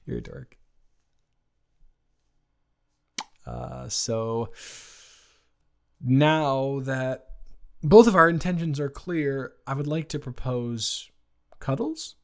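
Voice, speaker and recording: A young man playing an awkward, playful, smitten roommate in an improvised romantic audio roleplay, talking in a halting, rambling way with emphatic bursts. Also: medium pitch, vocal fry. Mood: playful, affectionate. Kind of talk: improvised romantic audio roleplay, intimate one-sided conversation.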